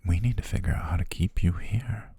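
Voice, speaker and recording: A young man playing a devoted, quietly possessive butler, speaking softly and doting in an ASMR roleplay on a close mic. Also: sits low, fairly quick, gravelly, breathy edge, full character voice. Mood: soothing whisper. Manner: soft, coaxing, doting, lulling. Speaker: ASMR voice actor.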